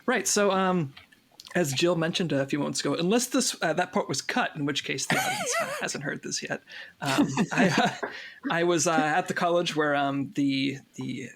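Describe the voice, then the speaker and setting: A man with a lively, swooping delivery and a slightly high voice tells casual stories as an interviewed cast member. His manner is jokey, with a gravelly fry in the voice. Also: normal speed. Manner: jokey, animated.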